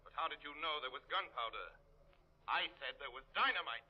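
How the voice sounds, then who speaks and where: A man's voice: fairly high pitch, animated, brisk, breathy edge. An actor playing a commanding, mysterious male crime-fighter in old-time radio drama, coolly accusing a villain. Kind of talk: 1940s radio acting. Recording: dull old radio recording with crowd.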